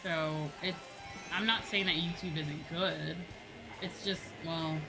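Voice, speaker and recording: Low-pitched woman streamer chatting over music. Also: steady tone, slow pace, rough recording. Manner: informal, conversational, emphatic repetition. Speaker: livestreamer, VTuber. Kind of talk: casual chat while gaming on a livestream. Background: background music.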